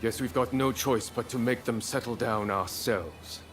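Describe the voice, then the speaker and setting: A man performs a weary samurai officer for a video game. His medium-pitched delivery is matter-of-fact, with soft music in the background. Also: moderate pace, close to an everyday voice. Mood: resigned. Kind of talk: scripted game cutscene acting.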